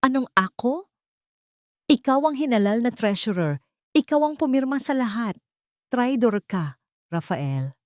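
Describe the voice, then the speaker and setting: Venomous young Filipina bride for audio melodrama, voiced by a woman, piercing high cries, indignant blame, dry recording. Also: big pitch swings, a little slow, long pauses, no room sound. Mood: outraged, shrill. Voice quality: shrill. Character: shrill, treacherous young bride, outraged and accusing.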